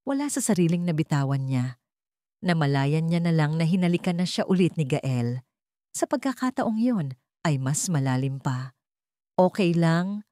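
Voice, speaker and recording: A woman's voice: deep voice, lively intonation, normal speed, dark tone, dry recording, clean studio sound. A woman storyteller reading a scripted romance story as narration.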